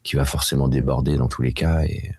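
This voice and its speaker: A man's voice: rumbling low, fairly even intonation, a little fast, mellow, slightly rough, no room sound. A French man in a band, answering interview questions casually with many hesitations and restarts. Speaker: band member.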